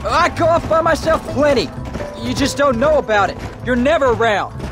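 A man's voice: extremely high pitch, expressive, moderate pace. An actor with an American accent playing a defiant, sulky teenage farm boy in a western video game. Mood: defiant, hurt. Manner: sulky, pleading. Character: defiant, wounded-pride teenage farm boy, cowboy's son. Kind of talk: dramatic video game acting. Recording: game audio with background music.

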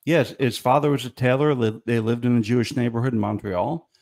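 A man's voice: medium pitch, steady tone, brisk, darkish tone. A middle-aged man on a video call, telling stories in casual chat and laughing often. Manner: storytelling, laughs often, restarts. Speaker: guest, comedy writer. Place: small room at home. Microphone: studio mic on video call.

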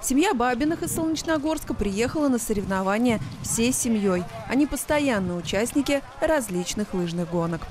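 A woman reading a scripted local news voice-over in an even, clear way. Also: on the high side, normal speed, hardly any pauses. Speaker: news reporter.

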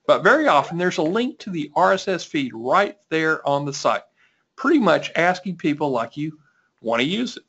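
Male webinar host, higher voice, eager, muffled call line. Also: big pitch swings, normal speed, a bit bright, husky. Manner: upbeat, repeats and restarts. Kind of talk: webinar presentation. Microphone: video call. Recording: dull lo-fi call audio.

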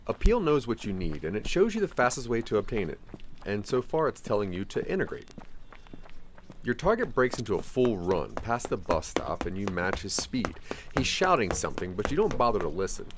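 Animated low-voiced man narrating a dark story. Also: slight background noise. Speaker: narrator of an interactive audio drama. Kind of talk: scripted second-person dramatic narration.

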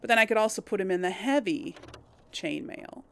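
A woman's voice: mid-range voice, animated, a little fast, a bit bright, a little hiss or hum. A woman giving casual let's play commentary, hesitant and musing as she thinks aloud to herself. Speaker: gaming host.

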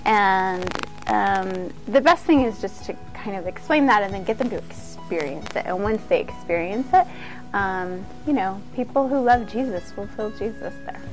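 A woman's voice: medium pitch, expressive, moderate pace, faint music behind, rough recording. A woman giving an informal instructional talk as a yoga teacher, conversational and earnest.

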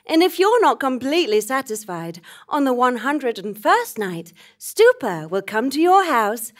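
Higher-pitched woman reading a spoof advert. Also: sing-song, measured pace. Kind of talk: scripted mattress advert parody. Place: small theatre stage, live show.

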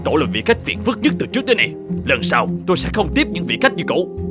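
Hot-tempered cartoon innkeeper, middle-aged, blustering, fast-talking, swooping pitch, music behind. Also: male voice, very animated, creaky, full character voice. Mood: gruff, irritated. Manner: blustering, threatening. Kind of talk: dubbed cartoon folk-tale acting.

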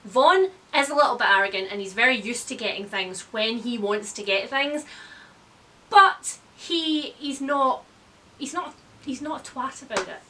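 A woman with a very bright, forceful voice that sits a little high. She talks casually as a book reviewer, blunt and wry, happy to give her opinion. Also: lively intonation, normal speed. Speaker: book reviewer, video host. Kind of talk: casual chatty book discussion. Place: indoors, room lined with bookshelves.

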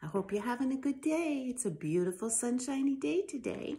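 A middle-aged woman reading a children's storybook aloud in an expressive, emphatic storytelling style. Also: high voice, normal speed. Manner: animated, emphatic delivery with sound effects. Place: living room by a fireplace, indoors.

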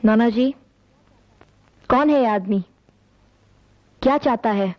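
A woman's voice: on the high side, takes it slowly. An actress playing a distressed, insistent woman in Hindi film melodrama, pleading and emphatic. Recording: dull lo-fi film soundtrack, music, background voices.

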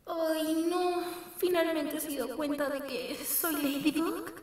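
A woman's voice: sits high, slow, pitched above the performer's own voice. A woman voicing an earnest, anxious teenage superheroine in a pleading, dramatic manner. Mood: panicked.

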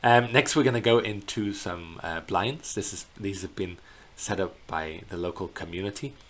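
A middle-aged man giving an unscripted slideshow narration as a tour guide, in a hesitant, halting way, recorded indoors on a computer microphone. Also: low-pitched. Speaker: bird tour guide presenting a virtual tour. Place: indoors in a small plain room. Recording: clear background noise.

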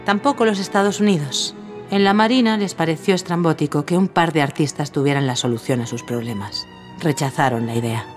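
An actress playing a witty, glamorous film-star inventor in a radio drama, with playful, theatrical delivery. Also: female voice, low-pitched, a little fast, soft background music, lightly acted. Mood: wry, reflective. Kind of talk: radio drama acting and first-person narration.

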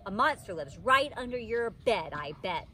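A woman's voice: medium pitch, animated, normal speed, pushed and bright. A woman reading the part of a teasing, know-it-all young schoolboy in a storybook, taunting and insistent. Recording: outdoor background noise.

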